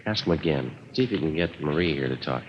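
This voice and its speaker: Low, quick, clipped American detective. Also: male voice, a little hiss or hum. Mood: businesslike, probing. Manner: clipped, deadpan. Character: terse, businesslike male police detective who also narrates. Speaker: radio drama actor, lead police detective and narrator. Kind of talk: 1950s radio drama acting. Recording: dull vintage radio recording, music.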